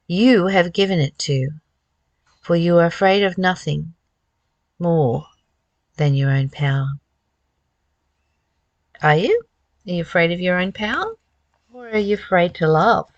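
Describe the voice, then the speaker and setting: A woman's voice: on the low side, dry recording. A woman giving a spiritual talk as a teacher, reading and reflecting with rhetorical questions.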